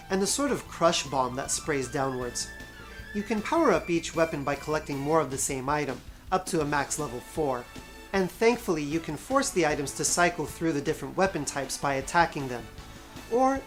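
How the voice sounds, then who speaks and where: A woman narrating a scripted video game review as its host, with wry, sarcastic asides and emphatic stresses. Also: low-pitched.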